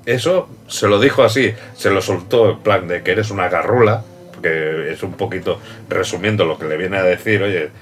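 A man's voice: low-pitched, moderate pace. A man hosting a casual film chat, talking loosely, laughing often and restarting sentences. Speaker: host. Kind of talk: casual chat about films.

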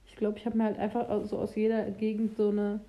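A young woman chatting candidly in casual conversation, with some halting restarts. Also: medium pitch, completely flat delivery, mellow. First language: German.

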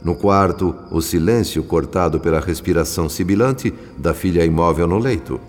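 A man's voice: deep voice, normal speed, natural voice. A man with a Brazilian accent narrating a radio drama as a solemn observing spirit, in a literary, theatrical manner. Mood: solemn, reflective. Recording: long reverb, music underneath. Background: music.

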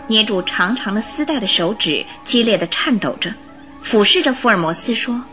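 Expressive woman narrating a radio drama. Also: medium pitch, moderate pace, over music.

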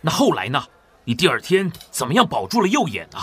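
Big hearty wartime operative, husky edge, kinda high voice, radio drama. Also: male voice, wildly swooping pitch, quick pace, fairly bright tone, heavily acted. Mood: concerned. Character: big hearty plain-spoken man, tall heavyset wartime operative. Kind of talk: Mandarin audio drama acting.

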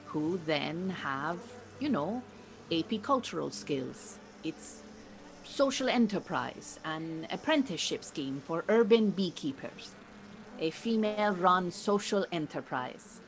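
An actress playing an earnest, proud Iranian refugee woman who mentors beekeepers in an audio drama, speaking in a measured, formal, precise way. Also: lowish voice, expressive, slight background noise, low-quality recording. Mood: polite, guarded. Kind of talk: naturalistic audio drama acting.

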